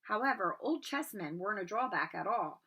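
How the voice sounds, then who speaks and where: A woman narrating a storybook aloud in an expressive read-aloud style. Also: medium pitch, moderate pace, breathy edge.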